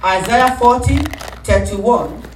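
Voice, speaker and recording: A woman pastor preaching a sermon, calling for responses and rising into shouts. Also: medium pitch, slow, far from the mic, a little hiss or hum. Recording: echoey room, voice from off-mic.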